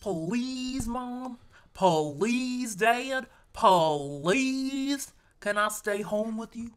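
Whiny little kid begging, teary high voice, storybook style done by a lady. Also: expressive, drawn-out words, noisy background. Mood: tearful pleading. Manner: pleading, childlike. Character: whining, pleading little boy dreading school. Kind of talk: picture book character reading.

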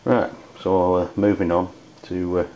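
A man with an English accent giving an unscripted product review in a hesitant, halting way. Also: low-pitched, level tone, darkish tone. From United Kingdom. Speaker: amateur radio reviewer. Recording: dull lo-fi audio with background noise.

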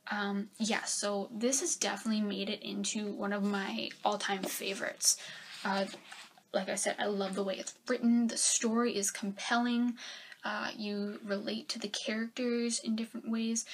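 A young woman giving a casual book review from her bedroom, chatty and rambling with lots of fillers, her voice a little raspy as she is getting sick. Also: medium pitch. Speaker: book vlogger. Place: bedroom, indoors. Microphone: webcam at desk.

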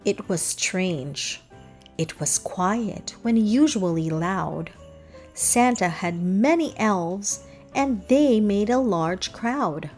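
A woman's voice: mid-range voice, expressive, slow pace, darkish tone. A woman narrating a children's read-aloud, reading rhythmic verse expressively. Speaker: storybook narrator. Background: music.

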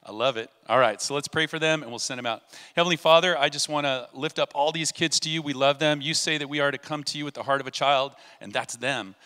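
A man's voice: fairly high pitch, fast, few pauses. A middle-aged man leading a church service, talking informally and then praying in a church sanctuary on a handheld mic. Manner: warm, informal with kids, then prayerful. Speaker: pastor. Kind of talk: church service address and prayer. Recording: long reverb of a church hall.

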